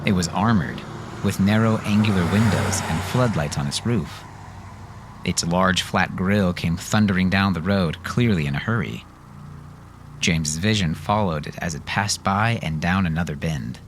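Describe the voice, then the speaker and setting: Low-voiced male audiobook narrator. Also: moderate pace, noisy background. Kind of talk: dramatic scripted fiction narration.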